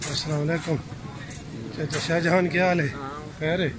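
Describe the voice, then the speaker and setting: A Punjabi-accented man in his thirties chats to his viewers while strolling an outdoor market, talking into a wired earphone mic. His voice sits a touch high for a man. Loud traffic and street noise run under everything he says. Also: little pitch movement, moderate pace. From Pakistan. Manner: chatty, greets passersby while walking. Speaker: vlogger. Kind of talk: casual walking vlog.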